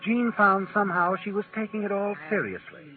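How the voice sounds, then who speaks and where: An actor playing a sinister, all-knowing storyteller, narrating a 1940s radio mystery with dramatic menace. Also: male voice, high voice, steady tone, brisk, background noise, natural voice. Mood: knowing, suspenseful. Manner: dramatic, ominous. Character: sinister, omniscient male night-walking storyteller. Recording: tinny vintage 1940s radio recording, music behind.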